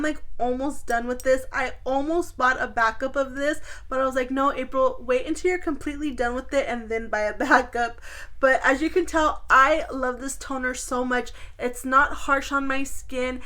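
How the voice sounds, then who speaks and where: A woman's voice: a little high, pushed and bright. A woman beauty vlogger chatting casually and informally to her viewers as she reviews products.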